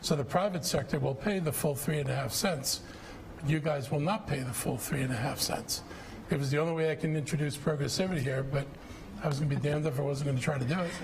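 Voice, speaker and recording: A man's voice: on the high side, fast talker, background noise. A middle-aged man at a public budget forum, answering questions in a blunt, combative, plain-spoken way. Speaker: county executive answering questions.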